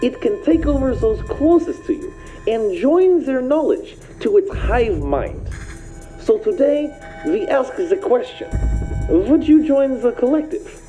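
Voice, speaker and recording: Sci-fi audio show narrator actress: mysterious woman, very soft dark tone, unhurried, ominous, faint music beneath. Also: high voice, sing-song, low-quality recording. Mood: ominous, inviting. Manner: deliberate, ominous. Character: mysterious female storyteller introducing a sci-fi tale. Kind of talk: scripted dramatic narration.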